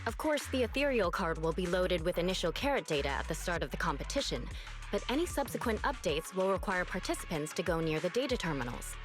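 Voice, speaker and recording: A woman's voice: on the low side, very animated, fast talker, music in the background. A woman voicing a courteous, businesslike receptionist explaining rules in a game cutscene. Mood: polite, instructive. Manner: courteous, clearly instructive. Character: polite, businesslike female competition receptionist.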